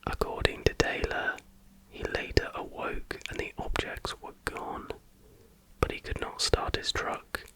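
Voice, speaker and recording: Man, breathy unvoiced whisper, hushed ASMR reading, informal delivery, close mic. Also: a little slow, rough recording. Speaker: ASMR host. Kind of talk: whispered ASMR reading of stories with casual asides.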